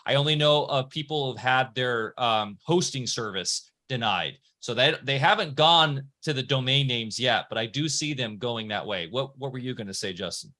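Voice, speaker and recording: A man's voice: mid-range voice, normal speed, few pauses, pushed and bright. A man hosting a podcast, talking casually and rambling, often restarting and correcting himself mid-sentence. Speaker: podcast host. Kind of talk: casual conversation.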